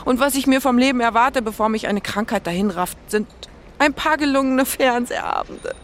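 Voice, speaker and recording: A woman's voice: a little high, moderate pace, slight background noise, close to an everyday voice. An actress playing a lovelorn, guilt-ridden, melodramatic woman who talks in an agitated, theatrical way in an audio drama. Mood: guilty, bitter. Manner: agitated, theatrical, self-accusing. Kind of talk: audio drama dialogue acting.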